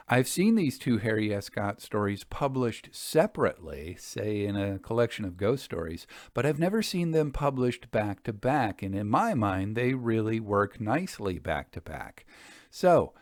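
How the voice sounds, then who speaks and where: A man hosting a story podcast, speaking in a measured, deliberate way as he reads and comments on literary tales. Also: fairly low pitch, a little mellow, no room sound, clean studio sound. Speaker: host and story reader.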